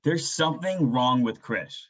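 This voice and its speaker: A man's voice: medium pitch, animated, a little slow, a bit bright, dull audio. A man playing a worried, frustrated father in a staged drama reading, with a pleading, earnest manner. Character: worried, frustrated stay-at-home father and husband.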